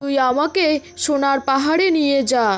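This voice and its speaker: A woman's voice: high-pitched, moderate pace, close to an everyday voice. A woman voicing a greedy, rude male neighbour in a cartoon tale, scolding and demanding. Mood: bossy, irritated.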